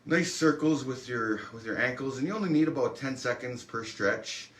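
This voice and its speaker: Man, fitness instructor, relaxed, encouraging, chatty, middle pitch. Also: moderate pace. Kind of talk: guided workout instruction.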